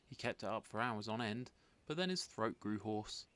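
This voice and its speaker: A man reading a rhyming children's story aloud as an expressive narrator. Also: lowish voice, normal speed, clean tone. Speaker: storybook reader.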